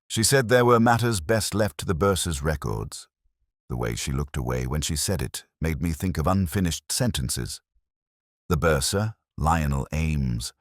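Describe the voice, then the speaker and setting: A man voicing a world-weary middle-aged detective, narrating an audio drama in the first person in a measured, dry and wry manner. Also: extremely low pitch, dry recording, full character voice.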